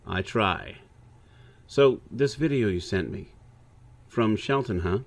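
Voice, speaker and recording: Laid-back old pal, somewhat low voice, sardonic teasing, casual radio-drama banter. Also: male voice, expressive, takes it slowly, exaggerated voice. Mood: teasing, friendly. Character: laid-back, teasing old high-school buddy, male video analyst. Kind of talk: casual audio drama dialogue.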